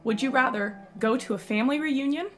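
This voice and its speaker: A young woman with a raspy voice, excitedly commenting while playing a video game. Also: high voice, a little fast, soft background music. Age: young adult. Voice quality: raspy from yelling. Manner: excitable, exclaiming at finds. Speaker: gaming YouTuber. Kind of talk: let's play commentary.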